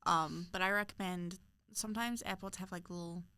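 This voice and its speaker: A woman's voice: on the low side, steady tone, normal speed, bright, forceful tone, dry recording. A young woman from New Zealand with a New Zealand accent, co-hosting a podcast, chatting casually and agreeing often with lots of yeahs. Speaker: podcast co-host. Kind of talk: casual chat.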